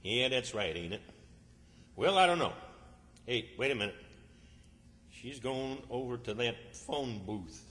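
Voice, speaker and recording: Forceful, exasperated country schemer played by a man. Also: mid-range voice, lively intonation, measured pace, pauses often. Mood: scheming. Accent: rural Southern American. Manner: grumbling, sarcastic, folksy. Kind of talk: old-time radio comedy acting. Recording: stage performance in reverberant hall.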